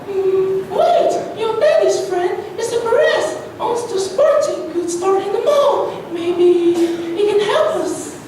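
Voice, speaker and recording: A woman's voice: extremely high pitch, expressive, slow pace, warm tone, heavily acted. A female reader playing an eager, upbeat schoolgirl in an amateur reader's theatre performance. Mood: thoughtful. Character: Grace, eager schoolgirl organising friends, upbeat. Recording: very echoey room, distant mic, faint noise.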